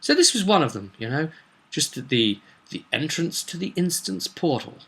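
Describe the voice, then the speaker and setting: A man's voice: a little high, big pitch swings, normal speed, a bit bright, breathy edge. A man chatting casually as a game master, recounting a tabletop roleplay session in a rambling, storytelling way. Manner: chatty, slips into acted quotes of characters.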